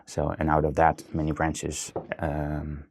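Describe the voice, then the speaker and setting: Man, Dutch accent, very low voice, gravelly, hesitant with lots of ums and restarts. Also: monotone, a little slow, darkish tone. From Netherlands. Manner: hesitant, many fillers and restarts. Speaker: guest. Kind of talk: casual interview talk.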